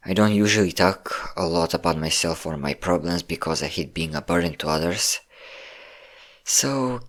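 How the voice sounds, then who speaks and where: A man acting as a young man with a fairly low voice, depressed and close to tears. He speaks haltingly and puts himself down as he opens up to his best friend. It has the feel of an intimate audio roleplay drama. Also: fairly bright tone. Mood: tearful, hesitant. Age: young adult. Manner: halting, self-deprecating, sighing. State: tearful.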